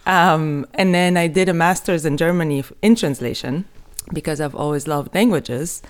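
A woman's voice: deep voice, normal speed. A woman from Egypt whose first language is Arabic, speaking English as a podcast guest. She talks in a chatty, self-interrupting way and laughs at herself. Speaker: guest, artist and translator. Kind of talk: casual interview chat.

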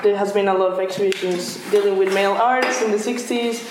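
A woman from Colombia, an artist and curator, talks loosely about her work and says "like" again and again. Her voice is mid-pitched. It is captured in a very echoey room. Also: fairly even intonation, fast talker, background noise. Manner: casual, heavy use of "like". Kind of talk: interview conversation.

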